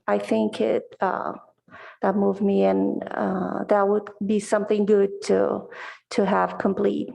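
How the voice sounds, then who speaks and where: A woman's voice: medium pitch, vocal fry, darkish tone. A middle-aged woman from Brazil, Portuguese her first language, answering interview questions hesitantly with many fillers over a video call. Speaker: commission applicant. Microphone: video call mic.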